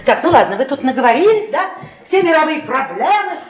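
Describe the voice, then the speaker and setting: A brash middle-aged wife in a radio drama, taunting and domineering. Her high voice swings widely in pitch, in an echoey room. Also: very animated, brisk, a crowd in the background. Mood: gleefully taunting. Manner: mocking, theatrical, goading. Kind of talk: stage-style radio play acting. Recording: echoey radio play, music behind.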